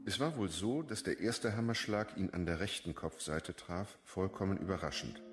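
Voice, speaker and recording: Low-voiced, blunt homicide inspector. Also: male voice, music in the background. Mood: clinical, blunt. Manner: measured, official, blunt. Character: matter-of-fact male homicide inspector. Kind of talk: German radio crime drama acting.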